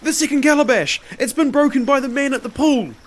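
A man voicing a frightened, servile slave in a storytelling, with a shrill voice and a flustered stammer. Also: huge pitch swings, a little slow, fairly bright tone, slight background noise, full character voice. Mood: panicked.

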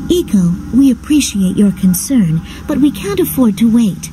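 Game heroine: young warrior, earnest and resolute, highish animated voice, noisy ambience behind. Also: female voice, moderate pace. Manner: earnest, firm, polite. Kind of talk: video game voice acting.

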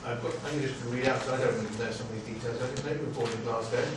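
Halting older man, far off in echo. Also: mid-range voice, steady tone. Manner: rambling, halting, jokey. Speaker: seminar host introducing a speaker. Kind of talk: informal spoken introduction. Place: small seminar room. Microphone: far camera microphone. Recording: distant mic, echoey noisy room.

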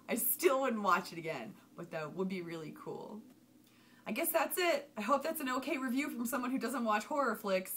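A woman's voice: medium pitch, slight background noise. A young woman talking casually to her webcam at home, chatty and emphatic as she gives a movie review. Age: young adult. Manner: chatty, animated hand gestures, emphatic outbursts. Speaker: vlogger. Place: small home room with shelves. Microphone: webcam at desk.

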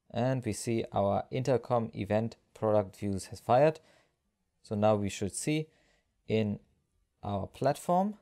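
A man narrating a step-by-step software screencast tutorial as an instructor, explaining each action as he goes. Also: on the low side, gravelly.

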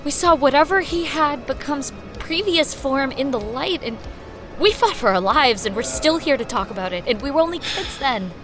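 A woman's voice: sits high, animated, moderate pace, music in the background. A woman playing a determined young heroine in a horror audio drama, earnest and insistent. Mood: pleading.

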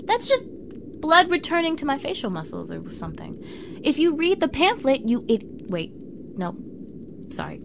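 A young woman acting an awkward, defensive mean girl in an intimate audio roleplay. She speaks slowly and softly, halting and flustered, with a gravelly fry in her voice. A clear bed of ambient noise runs behind her. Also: fairly high pitch, full character voice. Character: standoffish, awkward, defensive mean girl softening while snowbound.

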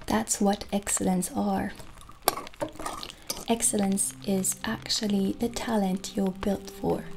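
Soft, slow, creaky-voiced witch shopkeeper played by a woman. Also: mid-range voice, background noise. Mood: reflective. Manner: soft, unhurried, soothing. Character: gentle, friendly witch shopkeeper selling potions and crystals. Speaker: ASMR performer. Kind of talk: ASMR roleplay with spoken voice. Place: small dim candlelit room, indoors. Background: soft music.

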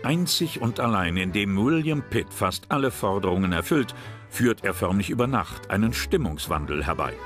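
A man narrating a history documentary drama in a measured, serious way. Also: lowish voice, expressive. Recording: narration over loud music.

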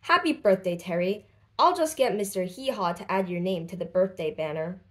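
A woman's voice: mid-range voice, expressive, normal speed, fairly bright tone, lightly acted. A woman voicing a kind, capable, cheerful girl in a children's storybook, explaining and reassuring.

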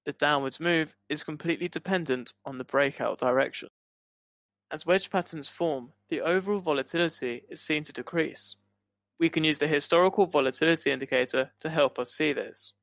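A man's voice: fairly high pitch, a bit bright, no room sound. A man narrating a scripted tutorial as an instructor, explaining step by step into a close mic. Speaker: trading instructor. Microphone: close voice-over mic, dry.

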